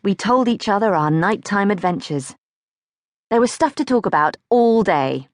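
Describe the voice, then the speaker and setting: A woman's voice: medium pitch, moderate pace, no room sound, clean studio sound. A woman narrating a childhood diary as an audiobook, reading expressively from a script. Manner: expressive, acts out questions.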